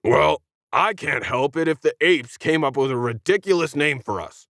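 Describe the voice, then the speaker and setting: A man's voice: on the high side, expressive, normal speed, gravelly, fairly bright tone, no room sound, clean studio sound. A man voicing a smug, whiny tentacled alien who sneers condescendingly at humans in an audio science fiction story. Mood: defensive. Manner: condescending, sarcastic.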